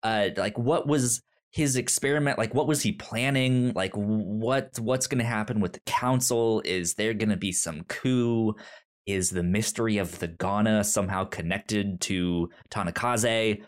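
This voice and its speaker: Halting man in casual podcast discussion. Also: lowish voice. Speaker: podcast co-host.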